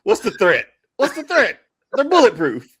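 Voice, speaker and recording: A man's voice: sits high, huge pitch swings, normal speed, a bit bright, slightly rough. A man chatting casually as a panelist on a podcast. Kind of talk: casual chat.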